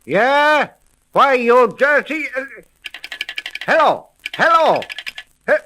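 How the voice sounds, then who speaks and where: An actor playing a brusque, wary American police sergeant in a 1930s radio detective drama, with clipped, slangy, commanding speech. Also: male voice, extremely high pitch, very animated, takes it slowly, pushed and bright, exaggerated voice. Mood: gruff, irritated.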